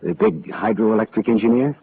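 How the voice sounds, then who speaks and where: An actor playing a sardonic, wisecracking American private detective with a glib, wry delivery in an old radio drama. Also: male voice, fairly low pitch, fairly quick, phone-quality sound, lightly acted. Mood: dry. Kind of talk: 1940s radio acting. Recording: thin, noisy old radio recording.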